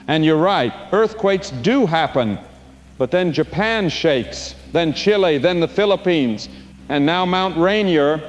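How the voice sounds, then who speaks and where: A man's voice: high-pitched, highs cut off, a little hiss or hum. A man playing a fervent preacher delivering a sermon about the end times in an impassioned preaching cadence. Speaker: pastor. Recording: long hall reverb.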